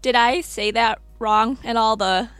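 A woman's voice: fairly high pitch, steady tone, unhurried, fairly bright tone, smooth, no echo, soft background music. A woman hosting a casual podcast interview, asking questions in a friendly, chatty way with many fillers. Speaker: podcast host.

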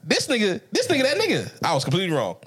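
A man hosting a podcast, telling stories in casual, profane banter, laughing loudly and jumping up in pitch when he quotes people. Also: huge pitch swings, racing, slightly rough. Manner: loud, laughing, profane storytelling with big pitch jumps. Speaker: podcast host.

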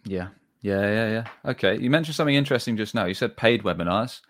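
A man hosting an interview, giving short affirmations and asking quick follow-up questions. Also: fairly low pitch, lively intonation, fairly bright tone. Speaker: podcast host.

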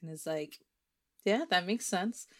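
A woman's voice: fairly low pitch, a little slow, a bit bright. A young adult woman who is a guest on a podcast, talking casually, agreeable and laughing often. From Puerto Rican and Dominican family, in the US. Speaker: podcast guest and fellow podcast host.

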